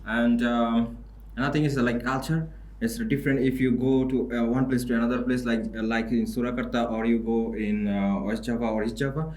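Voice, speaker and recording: A man's voice: medium pitch, steady tone, fairly quick, darkish tone, reverberant. A man from Bangladesh, an international student, chatting casually on a podcast. He talks hesitantly, with many fillers and restarts.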